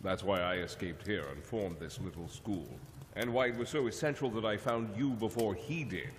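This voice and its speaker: An actor playing a wise, calm telepath mentor who speaks in a measured, lecturing way. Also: male voice, fairly low pitch, a little hiss or hum, close to an everyday voice. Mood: grave. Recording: long reverb over the voice. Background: music score.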